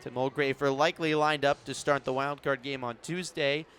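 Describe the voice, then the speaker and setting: A man's voice: mid-range voice, fairly even intonation, moderate pace, fairly bright tone, faint music behind. A man doing live radio play-by-play commentary of a baseball game as the announcer. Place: broadcast position at an outdoor ballpark in the evening.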